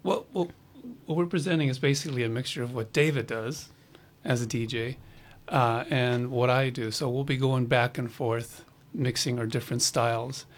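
A man's voice: mid-range voice, steady tone, normal speed. Laid-back man rambling with fillers. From Los Angeles. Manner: easygoing, rambling, many fillers. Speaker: musician guest. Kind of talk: casual radio interview.

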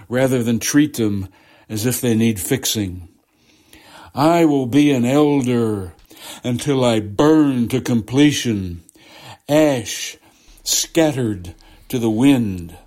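A poet reading his own poem in a slow, measured way. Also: medium pitch, darkish tone.

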